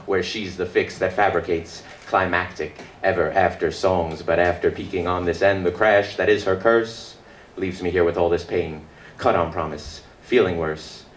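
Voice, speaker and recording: A man performing his own spoken word poetry at a live reading, in a rhythmic, dramatic delivery with deliberate pauses. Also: fairly low pitch. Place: indoor book release reading before an audience. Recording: echoey live room with background noise.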